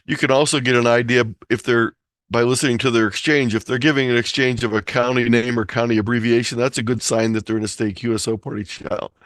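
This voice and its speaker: A man's voice: medium pitch, steady tone, quick pace, fairly bright tone, no room sound. American man presenting, dry close sound. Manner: explanatory, instructive. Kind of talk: informal slideshow lecture on a hobby. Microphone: close computer or headset mic on a video call.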